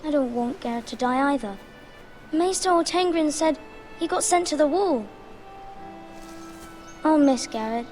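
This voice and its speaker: A woman's voice: sits high, animated, unhurried, long pauses, a little mellow, faint music behind. A woman voicing an anxious, plainspoken little noble boy in a fantasy game, childlike and plaintive. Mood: sad, plaintive. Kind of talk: dramatic fantasy game acting.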